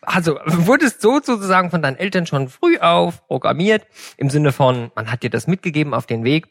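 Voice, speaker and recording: A man's voice: a little high, animated, brisk, a bit bright, no echo, studio quality. A man hosting an interview podcast, talking in an enthusiastic, gushing way and laughing often. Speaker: podcast host.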